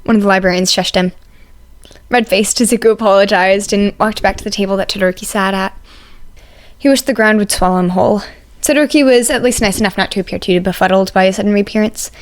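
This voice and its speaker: A woman's voice: medium pitch, fast. A woman reading fan fiction aloud as scripted story narration.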